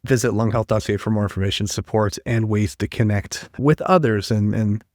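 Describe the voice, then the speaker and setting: A man's voice: lowish voice, brisk, a little mellow, dry recording, studio quality. A man hosting an interview podcast, friendly and conversational, reading out resource details between questions. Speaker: podcast host. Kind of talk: interview hosting with scripted info segments.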